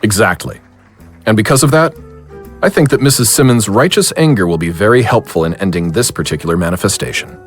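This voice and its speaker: A man playing a calm, learned occult investigator in an audio drama, explaining things in measured, wordy sentences. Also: lowish voice, faint music behind, close to an everyday voice. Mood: calm, explanatory.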